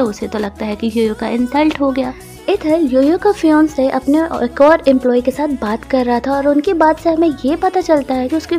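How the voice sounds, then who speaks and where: A woman's voice: high voice, mellow. A woman narrating a drama recap in a chatty, colloquial, casual storytelling way. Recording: voice-over with music behind. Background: music.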